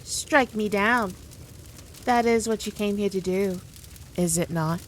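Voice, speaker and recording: A woman playing a powerful demon queen in an ASMR roleplay, condescending, teasing and theatrical, with deliberate, drawn-out phrasing. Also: medium pitch, a little hiss or hum, natural voice. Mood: taunting, coaxing. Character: powerful demon queen, haughty, teasing, dominant, toying with her foe. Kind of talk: scripted ASMR roleplay addressed to the listener.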